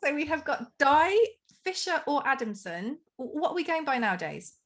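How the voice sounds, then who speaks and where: A woman's voice: high voice, big pitch swings, normal speed, a bit bright. Chatty, enthusiastic woman podcast host. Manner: chatty, enthusiastic, self-deprecating. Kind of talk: conversational podcast interview.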